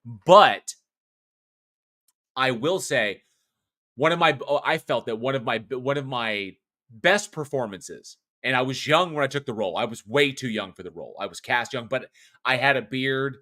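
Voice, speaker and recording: Passionate man with a bright voice. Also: medium pitch, lively intonation, normal speed. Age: 40s. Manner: passionate, rambling, with frequent restarts and profanity. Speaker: podcast co-host. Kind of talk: casual film review chat.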